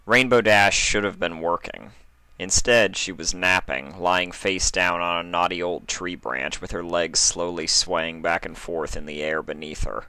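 A man's voice: low-pitched, fairly even intonation, measured pace, sharp tone, smooth, no room sound. A man reading stories aloud as narrator, with a casual, storytelling style. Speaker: fan fiction reader and narrator.

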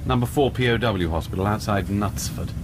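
A man's voice: lowish voice, fairly quick, noisy background, lightly acted. A man playing a dry, pushy, sarcastic British army major in a radio drama, with a British accent. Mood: firm, exasperated.

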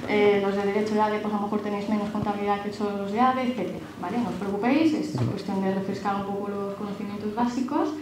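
A woman's voice: medium pitch, steady tone, quick pace, few pauses. Woman in a very echoey hall. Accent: Spain. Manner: reassuring, frequent checking tag "¿vale?". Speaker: recruiter speaking at a careers talk. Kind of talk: informational presentation to students. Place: large auditorium, panel table. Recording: very echoey hall, some noise.

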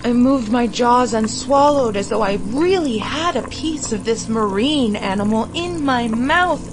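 A woman reading a literary story in the first person, expressive, vivid and deliberate. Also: fairly high pitch, noisy background. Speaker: story reader.

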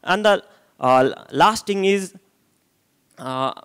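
Young Nepali man, clear voice. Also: high voice, animated, slow, fairly bright tone. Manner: hesitant, many filler sounds and restarts. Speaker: conference speaker. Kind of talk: conference presentation. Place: hotel conference room, indoors. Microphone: handheld microphone.

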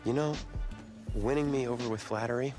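A man playing a stressed, proud high school football star in a video game cutscene, casual and sardonic with an American accent. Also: a little fast, lightly acted. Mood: wry. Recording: background music under the voice.

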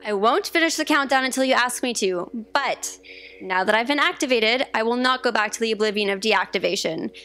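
Young woman as perky high-voiced countdown program. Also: a little fast, sharp tone, slight background noise. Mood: self-assertive. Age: young adult. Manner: blithe, needy, self-assertive. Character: perky, self-willed female self-destruct countdown program. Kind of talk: staged comic audio drama acting. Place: theatre stage before an audience. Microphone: stand microphone.

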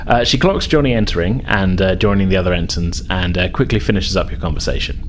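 A man's voice: low voice, quick pace, slight background noise. A man running a tabletop game as game master, narrating casually in hesitant, chuckling conversational phrases.

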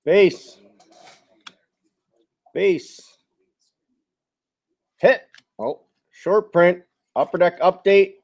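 High-pitched man calling out cards slowly. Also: muffled recording. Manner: clipped, listing calls in short bursts. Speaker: host of a sports card box break. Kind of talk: live card-opening commentary, terse announcing. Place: card shop with onlookers seated nearby.

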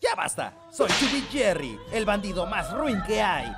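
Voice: mid-range voice, very animated, unhurried, very noisy. An actor voicing a boastful, cowardly boy outlaw in a cartoon dub, with Latin American Spanish, bragging and melodramatic. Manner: bragging, melodramatic, prone to yelping. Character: young boy gunslinger, boastful, cowardly, hapless would-be outlaw. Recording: loud music under the voice.

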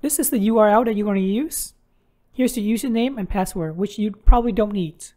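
A woman's voice: medium pitch, fairly quick, mellow. A woman hosting a how-to tutorial, narrating instructions step by step. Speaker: tutorial host.

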